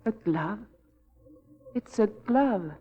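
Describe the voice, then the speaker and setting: A woman's voice: mid-range voice, expressive, drawn-out words, mellow, breathy edge, heavily acted. An actress playing a frightened, fragile wife who doubts her own sanity, in 1940s radio drama style, breathless and hesitant. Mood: agitated, guarded. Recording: muffled old radio recording.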